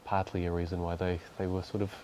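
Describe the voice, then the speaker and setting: Aussie guy in his 30s, lowish voice, bit halting, laughs a lot, light hiss. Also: level tone, mellow. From Australia. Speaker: guest musician. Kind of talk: casual interview chat.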